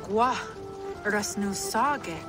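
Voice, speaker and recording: A woman playing a calm, dignified villager in a game cutscene. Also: medium pitch, drawn-out words, music in the background. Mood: solemn.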